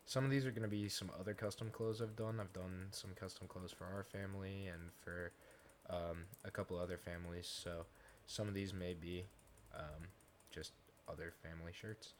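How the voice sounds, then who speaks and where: A man casually talking through a software tutorial step by step, in an informal way. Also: low-pitched, fairly even intonation, moderate pace. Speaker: tutorial host, gaming content creator. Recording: noisy home recording with keyboard clicks.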